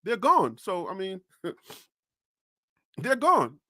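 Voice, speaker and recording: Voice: mid-range voice, wildly swooping pitch, very slow, sharp tone, dry recording, studio quality. A sports show host from North Carolina ranting on a live stream. The host fires incredulous rhetorical questions and laughs often.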